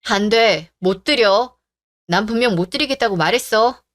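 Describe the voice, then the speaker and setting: A woman's voice: medium pitch, normal speed, a bit bright, dry recording, clean studio sound, close to an everyday voice. A woman voicing a young bride who is polite to her elders and firm with her fiancé. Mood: upset. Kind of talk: radio drama acting.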